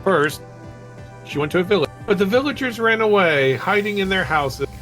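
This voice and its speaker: A man's voice: high-pitched, expressive, moderate pace, a bit bright, faint music behind. A man reading stories aloud and chatting casually during a video game session. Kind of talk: storybook read-aloud and casual game chat.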